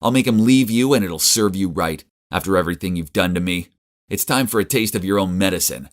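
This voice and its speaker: A man voicing a scheming young woman who speaks in a mean, shrill tone. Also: a little fast, lightly acted. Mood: spiteful. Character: scheming young woman, spiteful then pleading.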